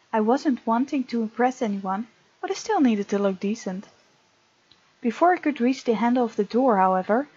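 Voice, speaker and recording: A woman hosting a let's play, reading game text aloud with playful, expressive asides. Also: a little high, slightly rough, lo-fi sound. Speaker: gaming video host.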